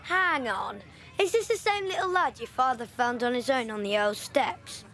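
A woman playing a sharp, observant young wartime boy, talking in a knowing, storytelling way in an audio drama. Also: high-pitched, animated, normal speed. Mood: sharp, questioning.

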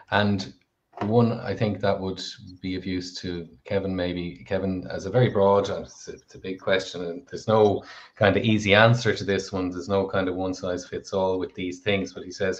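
A middle-aged man co-hosting a live video Q&A, answering viewer questions in a measured, thoughtful way. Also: low-pitched. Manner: measured, thoughtful, with frequent "I suppose". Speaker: co-host of a live Q&A. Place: home room with a plant behind. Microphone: headset, video call. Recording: dull lo-fi video call audio.

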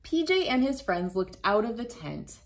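A woman in her thirties reading a picture book aloud to children, gentle and playful, laughing now and then, recorded on a phone camera indoors. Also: fairly high pitch, very animated, moderate pace. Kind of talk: read-aloud storytime for kids. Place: bright room indoors.

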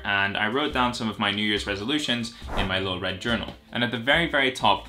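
A man's voice: on the low side, bright, forceful tone. A young man in his twenties, a YouTuber and medical student raised in Greece, chatting casually and directly to camera from a sofa in his living room. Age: 20s. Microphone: camera at medium distance.

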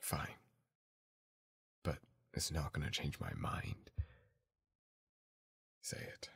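A man acting a gruff, dominant young bully turned tender boyfriend in a romantic ASMR roleplay, breathy and raspy, halting and intimate. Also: rumbling low, exaggerated voice. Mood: defensive, upset.

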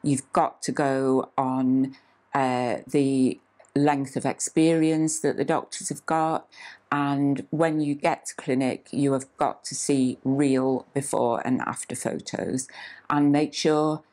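A woman in her sixties with a strikingly deep voice speaks as a clinic manager. She talks in a calm, measured way, often pausing on "uh." She is seated in a small indoor clinic room. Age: 60s. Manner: calm, measured, with frequent "uh" fillers. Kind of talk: scripted-feel promotional interview talk.

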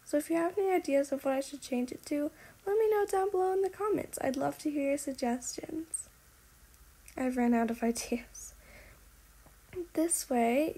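High-pitched young woman with creaky voice. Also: mellow, rough recording. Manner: chatty, giggly, apologetic. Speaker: video creator giving a game house tour. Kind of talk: casual voice-over tour of a game build.

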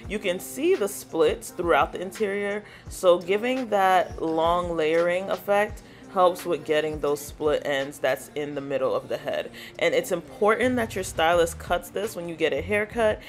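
A woman's voice: on the low side, measured pace, few pauses, faint music behind. A woman hairstylist narrating her salon vlog in a casual, chatty way, walking viewers through her steps. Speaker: natural hairstylist.

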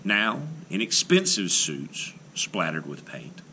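Male storybook reader, lowish and forceful, dramatic phrasing with deliberate pauses. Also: sing-song, slight background noise. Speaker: art teacher reading a picture book aloud. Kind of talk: expressive children's picture book read-aloud.

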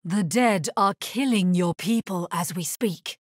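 A woman playing a grave, resolute necromancer hero in a video game, husky-voiced, speaking in a measured, solemn and terse way. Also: on the low side, no echo, studio quality. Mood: firm, guarded. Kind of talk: scripted video game character lines.

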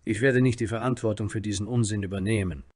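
A man voicing an angry, commanding male warrior leader who growls gruffly in a fantasy story. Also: lowish voice, fairly even intonation, fast, dry recording, clean studio sound, lightly acted. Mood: angry, stubborn.

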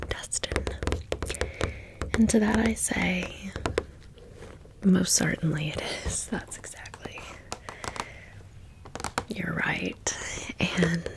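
A woman hosting ASMR in a breathy, unvoiced whisper, chatting informally and gently as she shows off items. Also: medium pitch. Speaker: ASMR video host. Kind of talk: whispered ASMR product show-and-tell.

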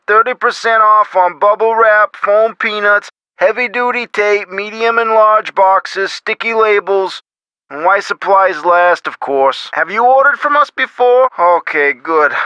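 A man's voice: high voice, measured pace, bright, forceful tone, clear, resonant tone, no room sound, low-quality recording. An actor playing an eager young shop salesman with a practiced patter in a video game. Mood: brisk, eager.

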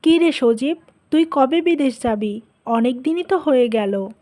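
A woman's voice: high voice, lively intonation, a little slow, warm tone. A woman voicing a greedy, cold-hearted, scheming mother of a grown son in a cartoon drama. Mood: casual, probing. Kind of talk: dubbed cartoon drama dialogue.

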